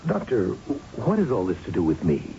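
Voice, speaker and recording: A condescending, gravelly company boss. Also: male voice, sits low, huge pitch swings, dark tone, heavily acted. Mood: evasive, dismissive. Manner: urbane, patronizing, deliberate. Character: smooth, condescending, scheming male company boss. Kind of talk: radio drama acting. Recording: muffled, faintly noisy old radio recording.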